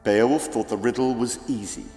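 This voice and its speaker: A man narrating a children's audiobook as dramatic, deliberate, theatrical storytelling. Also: medium pitch, dark tone. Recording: echoey reverb with faint music.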